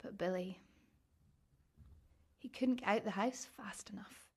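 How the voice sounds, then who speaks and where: An actress playing an overwhelmed, grieving young daughter confiding in a radio drama monologue. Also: female voice, medium pitch, measured pace, husky. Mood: tender, sad. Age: young adult.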